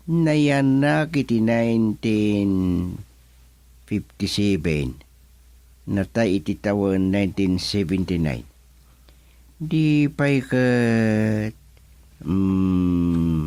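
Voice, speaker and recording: A man's voice: lowish voice, a little mellow, no echo. A man acting an elderly, folksy, easily flustered village chairman in an Ilocano radio comedy drama, muttering and humming with an Ilocano Filipino accent. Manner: muttering to himself with hums and exclamations.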